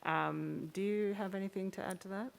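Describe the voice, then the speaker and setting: A woman moderating a question-and-answer session, speaking in hesitant, halting phrases. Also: mid-range voice, fairly even intonation, creaky.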